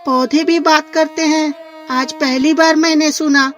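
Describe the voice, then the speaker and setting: A woman voicing a caring, practical farmer's wife in a cartoon moral story, expressive and questioning. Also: high-pitched, a little slow, voice pushed up. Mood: surprised, doubtful. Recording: music behind the voice.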